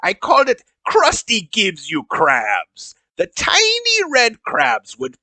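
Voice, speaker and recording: A man's voice: high voice, huge pitch swings, slow, pushed and bright, no echo, exaggerated voice. A man voicing a boastful pirate-like video game champion with growly pirate talk, in a comedy sketch. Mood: boastful, baffled.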